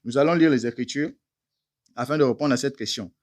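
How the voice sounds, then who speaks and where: A man's voice: medium pitch, brisk, a little mellow. A man preaching a teaching sermon, emphatic and firing rhetorical questions at listeners he calls beloved brothers.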